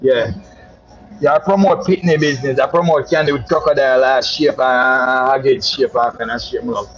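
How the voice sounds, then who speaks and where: A man's voice: mid-range voice, fairly even intonation, fairly quick, muffled recording. A Jamaican man with a boastful, flamboyant manner, telling a story in casual patois chat and calling listeners "my love". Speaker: social media personality.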